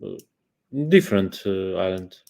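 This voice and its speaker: Middle-aged man, slow and gravelly, halting answers with lots of fillers. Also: deep voice, lively intonation, darkish tone. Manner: halting, searching for words, many fillers. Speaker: guest, profiling institute founder. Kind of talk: interview answers.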